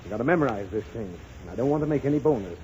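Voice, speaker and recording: A man's voice: medium pitch, expressive, racing, mellow, close to an everyday voice. An actor playing a middle-aged, irritable, pompous, stammering father in 1930s radio comedy. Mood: exasperated. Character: flustered, exasperated father rehearsing a banquet speech. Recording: hissy muffled 1930s radio recording.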